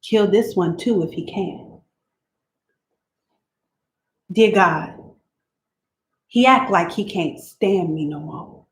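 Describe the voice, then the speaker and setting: A woman voicing a weary, abused teenage country girl who confides in God, speaking in a plain rural dialect, quiet and resigned. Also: fairly low pitch, slow pace, slight background noise. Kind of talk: dramatic reading of letters. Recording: roomy room echo.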